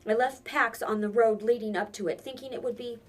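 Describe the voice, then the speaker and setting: A woman's voice: fairly high pitch, fairly even intonation, fairly quick, recorded from a distance, faint music behind, pitched above the performer's own voice, close to an everyday voice. A woman reading a storybook and voicing an earnest, stubborn boy, speaking with insistent emphasis. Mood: urgent.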